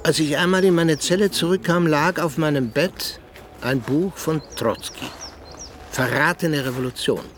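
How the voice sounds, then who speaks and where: An actor playing a disillusioned assassin and former prisoner in a radio drama, speaking in an earnest, brooding way. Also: male voice, a little high, unhurried, slight background noise, lightly acted. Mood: brooding, reflective. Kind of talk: German radio drama acting.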